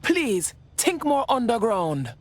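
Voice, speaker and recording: Streetwise resistance woman, higher-pitched. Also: very animated, slow pace, full character voice. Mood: urgent, pleading. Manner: slangy, blunt, urgent. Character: streetwise, blunt female underground resistance operative. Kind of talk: video game cutscene acting.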